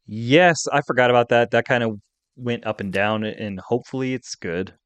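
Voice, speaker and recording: A man's voice: mid-range voice, normal speed, fairly bright tone, smooth, dry recording, dull audio. A middle-aged man talking casually and conversationally as the co-host of a podcast.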